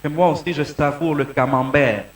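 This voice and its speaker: A man from Congo preaching a sermon in short phrases, pausing so an interpreter can follow. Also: on the high side, steady tone, roomy sound. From Congo (DRC). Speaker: pastor.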